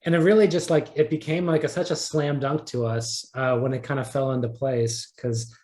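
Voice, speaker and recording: A man's voice: medium pitch, steady tone, normal speed, darkish tone. A man talking casually as an interview guest, peppering loose, restarted sentences with likes. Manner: conversational, peppered with likes and repeated starts. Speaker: interview guest, audio drama creator.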